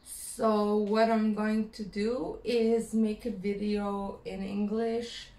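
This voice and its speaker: A woman from Croatia with a Croatian accent, speaking English as a second language in a personal vlog, pausing often and searching for words. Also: mid-range voice, flat delivery, mellow, echoey room. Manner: hesitant, many fillers, searching for words. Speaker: vlogger.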